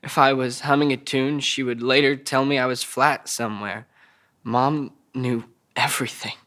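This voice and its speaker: A troubled young man, voiced by a male actor at a drama table read, speaks with a childlike plainness. He stammers and halts as he recounts painful memories, at a middling male pitch. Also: fairly even intonation, normal speed, sharp tone, natural voice. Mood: desperate, haunted.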